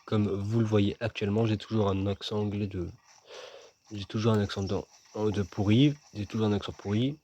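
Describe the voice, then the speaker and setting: A man's voice: on the low side, steady tone, moderate pace, mellow, a little hiss or hum. A man casually commenting as he plays a video game, joking and chatting with himself and his viewers. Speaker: gaming video host. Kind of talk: casual let's-play commentary.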